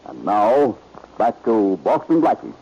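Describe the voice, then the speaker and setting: A man's voice: on the low side, huge pitch swings, a little slow, vocal fry, mellow, breathy edge, soft background music. A male announcer reading scripted story narration in 1940s radio style. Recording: noisy, muffled old radio recording.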